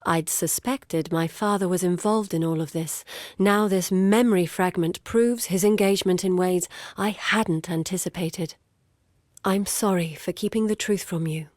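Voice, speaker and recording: An actress voicing an earnest, guarded woman explorer in a video game, speaking in a measured, grave and deliberate way. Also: lowish voice, fairly even intonation, no room sound, studio quality, lightly acted. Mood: confessional, earnest. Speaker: video game character voice actor. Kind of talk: scripted game character dialogue.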